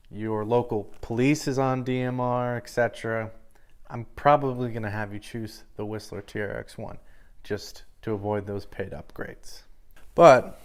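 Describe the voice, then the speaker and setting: A man's voice: mid-range voice, smooth. A man giving an informal product review in a matter-of-fact, explanatory way.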